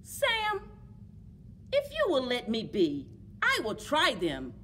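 Middle-aged female story time reader, highish animated voice, expressive rhymes, noticeable room noise. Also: slow. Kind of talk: expressive rhyming children's read-aloud. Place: public library, among bookshelves. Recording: clear background room noise.

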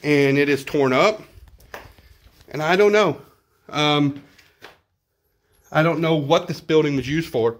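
A man's voice: fairly high pitch, takes it slowly, pauses often. A middle-aged man with a Texan accent, narrating casually as he films himself walking through outdoor ruins on a handheld phone, thinking aloud and repeating words. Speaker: vlogger exploring ruins. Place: outdoors at a ruined zoo. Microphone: handheld phone camera.

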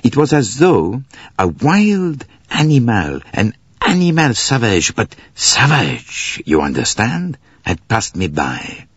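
Audiobook detective, played by a male reader: raised pitch, thoughtful and precise, lingering over phrases, slightly dull sound. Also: wildly swooping pitch, slightly rough, no echo, exaggerated voice. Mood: grave, musing. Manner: deliberate, musing, drawn-out phrases. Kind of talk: audiobook character voice.

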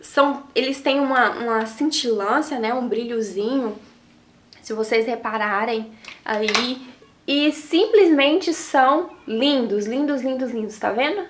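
A woman with a Brazilian accent talks straight to camera in a small studio, teaching makeup basics in a chatty, affectionate way and tagging questions onto her phrases. Her voice sits high and sounds a little nasal from a cold. The room adds a noticeable echo. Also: a little slow, a little hiss or hum. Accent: Brazilian Portuguese. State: has a cold, slightly nasal voice. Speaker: makeup artist and beauty vlogger. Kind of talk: beauty tutorial to camera. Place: small indoor studio in front of a logo backdrop. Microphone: camera framing head and shoulders. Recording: roomy echo.

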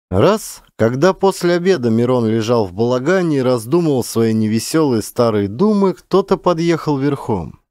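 Male narrator for a classic tale, expressive actor's reading, very varied intonation, dry close sound. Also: medium pitch, dry recording. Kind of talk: expressive literary storytelling.

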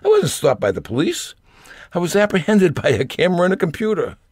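A man's voice: high voice, wildly swooping pitch, brisk, darkish tone, husky, no echo, clean studio sound. An older man reading a scripted personal essay aloud in a wry, grumbling manner. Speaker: storyteller reading own essay.

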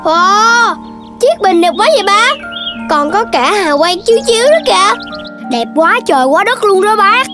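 A woman's voice: very high voice, expressive, moderate pace. A woman voicing a mischievous, bossy young puppy in a children's cartoon, eager and childlike, with a Southern Vietnamese accent. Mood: eager, excited. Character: Đốm, plucky mischievous young puppy kid, bossy little leader. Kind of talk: children's cartoon dub acting. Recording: music behind the voice.

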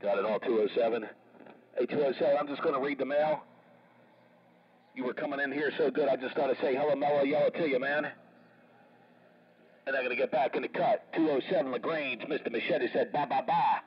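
A man's voice: mid-range voice, fairly quick, pauses often. A man chatting as a CB radio operator, repeating catchphrases and drawing out his call signs. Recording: muffled CB radio transmission with crowded chatter.

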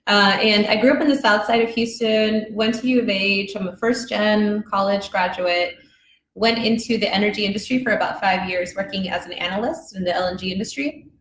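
A young woman from Mexico speaks earnestly and reflectively as a podcast guest. Her voice is mid-pitched, with the echo of an office room and faint noise behind it. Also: fairly even intonation, fairly quick, a bit bright. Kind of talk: casual interview chat. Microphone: desk microphone.

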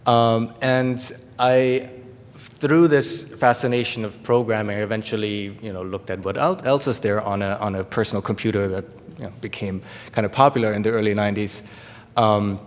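A middle-aged man with a German accent gives a lecture from a podium in a large hall, talking haltingly with many fillers and restarts. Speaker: guest lecturer. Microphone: podium microphone. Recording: long hall reverb, faint rumble.

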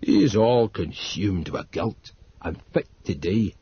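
A man voicing a taciturn old male servant, speaking slowly and gruffly. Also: on the low side, lively intonation, mellow, slight background noise, pitched below the performer's own voice. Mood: grim. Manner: slow, gruff dialect speech. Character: taciturn old male retainer, dour and blunt. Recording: dull dry studio read.

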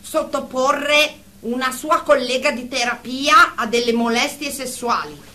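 A woman playing a stern, patronising therapist in a comic radio drama, speaking in measured, pompous clinical terms. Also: sits high, pushed and bright. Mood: clinical, reproving. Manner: measured, pompous, jargon-laden. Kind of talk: radio comedy-drama acting.

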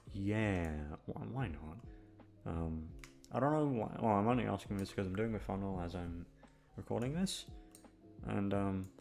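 A man's voice: fairly low pitch, gravelly, darkish tone. A man chatting casually to his listeners, rambling in a downbeat, complaining way and swearing freely. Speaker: channel host, audio voice actor.

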